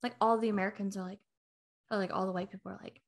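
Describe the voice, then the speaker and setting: A woman's voice: mid-range voice, fairly even intonation, rapid-fire. A young woman co-hosting a podcast in casual chat, talking with many "like"s and acting out what others said. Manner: chatty, heavy use of "like", acts out quoted people. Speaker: podcast co-host.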